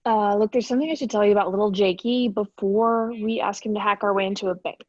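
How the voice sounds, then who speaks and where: A woman's voice: mid-range voice, steady tone, fast talker, dry recording, close to an everyday voice. An actress playing a flighty, chatty young woman in a screenplay table read, with casual conversational delivery. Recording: dull, dry remote call audio.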